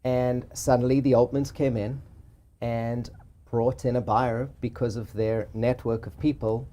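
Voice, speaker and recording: A man with a South African accent, a real estate agent explaining his business in a measured way during an interview. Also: mid-range voice, level tone, warm tone. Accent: South African with a Boston tinge. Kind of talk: interview conversation.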